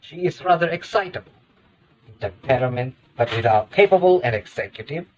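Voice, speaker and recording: A man's voice: mid-range voice, lively intonation, fairly quick, a little mellow, close to an everyday voice, rough recording. A man playing a boss who reads a play script aloud as scripted narration. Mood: descriptive, measured. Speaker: narrator reading stage directions. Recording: faint background noise and ambience.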